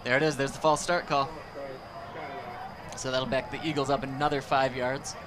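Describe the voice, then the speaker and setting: A man calling steady, descriptive play-by-play for a high school football game from a press box, with outdoor noise behind him. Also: normal speed. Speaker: play-by-play commentator. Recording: noisy outdoor stadium feed.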